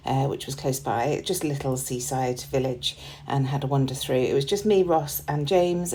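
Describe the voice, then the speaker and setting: A woman with a slightly hoarse voice, chatting in a relaxed way as she narrates a scrapbooking process video, with plenty of ums. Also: a bass voice. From United Kingdom. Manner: relaxed and chatty, with frequent ums and small laughs. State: slightly hoarse, losing her voice. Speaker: crafting channel host.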